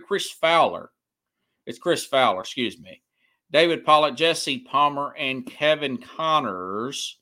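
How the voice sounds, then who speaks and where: A man's voice: fairly high pitch, sharp tone. A man hosting a sports podcast solo, talking casually and hesitantly as he works through notes and comments on the news in a rambling way. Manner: rambling, hesitant, searching through notes, repeats himself for emphasis.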